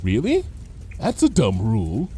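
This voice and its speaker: A man voicing a brash, carefree female pony troublemaker in a chatty, animated story reading. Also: on the low side, unhurried, husky, exaggerated voice. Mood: blithely arguing. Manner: breezy, chatty, blithely unconcerned. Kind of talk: animated fan fiction reading.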